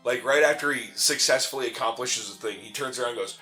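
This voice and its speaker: Bright-voiced joking man over game music. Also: medium pitch, quick pace. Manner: joking, self-deprecating. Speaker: co-host of a video game playthrough. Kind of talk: casual banter over gameplay.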